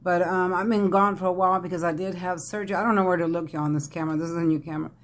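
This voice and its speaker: Woman, raspy, crackly, congested, chatty tarot vlog. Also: lowish voice, steady tone, very fast. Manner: chatty, addresses viewers as y'all. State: congested from a head cold. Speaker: tarot reader. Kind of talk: casual psychic reading vlog.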